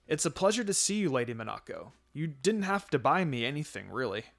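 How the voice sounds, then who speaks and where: A man's voice: medium pitch, sing-song, brisk, creaky, fairly bright tone. A man voicing a kindly, humble male ninja who talks politely and modestly in a story reading. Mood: friendly, modest.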